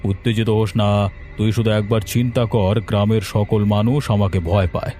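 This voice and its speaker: A man's voice: on the low side, fairly even intonation, brisk, warm tone, faint music behind, close to an everyday voice. A man voicing a vain, domineering village landlord who broods menacingly in a fairy-tale cartoon. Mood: threatening.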